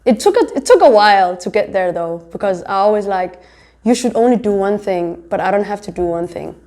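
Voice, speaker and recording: A woman's voice: medium pitch, lively intonation, a little fast, dark tone. A young woman in her twenties, born in Somalia and raised in Denmark, answering interview questions about her design work and studies in a chatty way, with many fillers. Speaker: fashion designer and pharmacy student.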